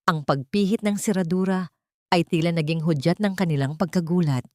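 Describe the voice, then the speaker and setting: A woman reading a scripted first-person story with deliberate, dramatic phrasing. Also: on the low side, warm tone, no room sound, clean studio sound. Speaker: audio story narrator.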